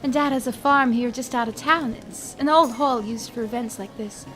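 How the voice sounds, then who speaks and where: A sweet, friendly young dwarf woman played by an actress in an audio drama. Her voice is fairly high and her talk chatty and polite, with faint music underneath. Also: moderate pace, fairly bright tone, natural voice. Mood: excited planning.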